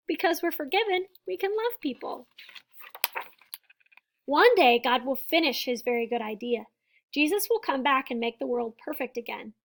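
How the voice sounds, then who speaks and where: High-voiced woman reading to children. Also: gravelly. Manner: deliberate, emphatic phrasing with explanatory asides to young listeners. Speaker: storybook reader and teacher. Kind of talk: children's picture book read-aloud.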